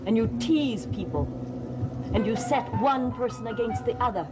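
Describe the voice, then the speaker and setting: An actress playing a fragile, frightened mother in a vintage television soap, speaking in a halting, dreamy, theatrical way. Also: on the high side, lively intonation, lightly acted. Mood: agitated, accusing. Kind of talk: 1960s-70s television soap melodrama. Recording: old TV soundtrack under loud music.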